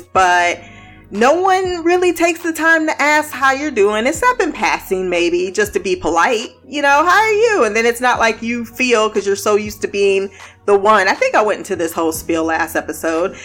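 A woman's voice: mid-range voice, animated, moderate pace, a bit bright. Woman, podcast host, casual review chat, blunt, laughs a lot, faint music behind. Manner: chatty, blunt, swears freely, laughs often.

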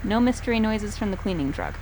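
An actress playing a tough, sardonic accomplice in a radio play, curt and deadpan. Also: female voice, medium pitch, brisk, natural voice. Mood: brusque. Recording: clear background noise.

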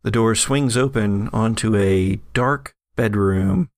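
A man narrating a horror tabletop game as game master, describing scenes in a halting, improvised way. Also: lowish voice, no echo, studio quality.

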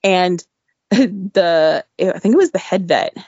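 A woman guest telling an animated story about her veterinary internship, laughing often and breaking into exclaimed asides, her voice a little worn. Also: lowish voice, slow pace, breathy edge, dry recording. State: losing her voice. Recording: dull, dry lo-fi audio.

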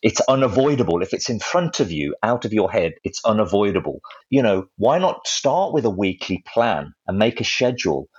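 A man's voice: fairly low pitch, very animated, normal speed, hardly any pauses, breathy edge, dry recording, muffled recording. A man in his fifties, an acting teacher co-hosting a podcast, talking casually and giving reflective advice. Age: 50s. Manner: reflective, coaching tone.

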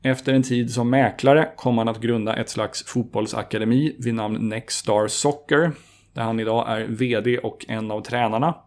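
A man's voice: mid-range voice, fairly even intonation, moderate pace, a little mellow. A male podcast host reading a scripted introduction before an interview. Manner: reads a prepared introduction.

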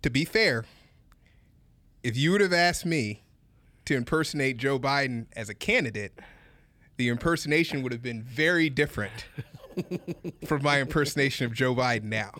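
A man co-hosting a casual chat, animated, repeating himself and laughing often. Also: medium pitch, normal speed, long pauses, no echo. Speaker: podcast co-host.

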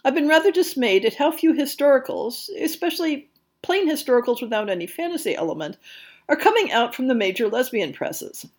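A woman hosting a podcast, delivering scripted book news in an articulate, measured way with bookish phrasing. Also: fairly high pitch, darkish tone. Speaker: podcast host.

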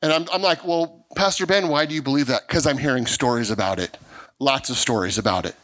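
A man's voice: medium pitch, a little fast, a bit bright. A middle-aged male pastor preaching earnestly, often restarting and repeating words as he drives his points home. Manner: acts out quoted voices of people he describes. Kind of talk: sermon.

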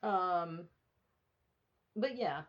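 A woman's voice: on the low side, animated, very slow, muffled recording, a crowd in the background. A woman hosting a podcast in casual chat, hesitant with many ums and restarts, laughing easily. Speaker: podcast host.

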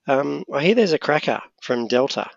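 A man's voice: mid-range voice, sing-song, normal speed, creaky, dry recording, dull audio. A man co-hosting a podcast, affirming and enthusiastic in casual chat. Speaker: podcast co-host.

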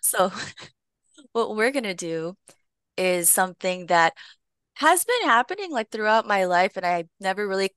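A young woman from Long Beach, California, a podcast guest telling her story in a relaxed, playful way. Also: medium pitch, a little slow, long pauses, clear, resonant tone, no echo. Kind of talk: casual conversation.

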